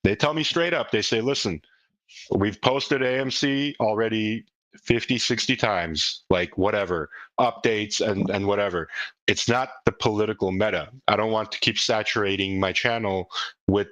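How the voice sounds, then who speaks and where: A man's voice: on the low side, moderate pace, a bit bright, no echo, low-quality recording. A man speaking casually and off the cuff as a project leader on a group call, earnest and emphatic, often repeating himself for stress. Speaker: crypto project leader hosting a community call. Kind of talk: casual unscripted group audio talk.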